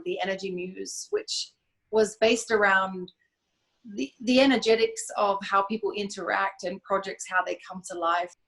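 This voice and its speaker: A woman answering interview questions as a business founder, measured and reflective, sometimes restarting a phrase. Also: fairly low pitch, fairly bright tone, low-quality recording. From Australia. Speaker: guest, event company founder.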